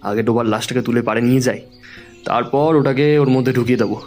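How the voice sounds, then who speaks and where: A man playing an impatient, practical village henchman in an audio horror story, brisk and pushy. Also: mid-range voice, a little mellow, soft background music, lightly acted. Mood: impatient, hurried.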